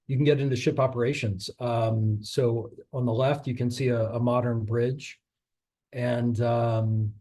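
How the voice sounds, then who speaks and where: A man's voice: medium pitch, little pitch movement, a little slow, mellow. A middle-aged man giving a casual talk about his maritime career, loose and chatty with many fillers and restarts, and losing his voice a little. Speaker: guest speaker on a maritime career.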